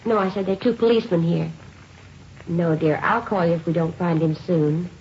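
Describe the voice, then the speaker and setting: Actress as a low-voiced worried mother, old radio. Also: moderate pace, a little mellow, dull audio, natural voice. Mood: anxious, controlled. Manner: hesitant, polite, restrained anxiety. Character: worried early-forties mother, outwardly calm, polite housewife. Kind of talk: 1950s radio drama acting. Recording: hissy, band-limited old radio recording.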